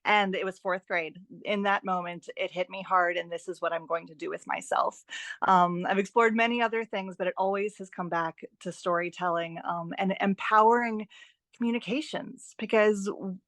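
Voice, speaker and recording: A woman with a mid-pitched voice, recorded very dry. She talks as a podcast guest, earnest and conversational, repeating phrases for emphasis. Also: fairly even intonation, moderate pace, fairly bright tone, dry recording. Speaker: interview guest, writer and editor. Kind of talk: conversational interview storytelling.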